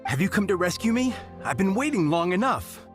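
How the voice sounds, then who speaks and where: A woman's voice: mid-range voice, sing-song, brisk, natural voice. Grumpy winery worker woman, woman voicing, complaining, music in back. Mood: grumbling. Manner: complaining, impatient.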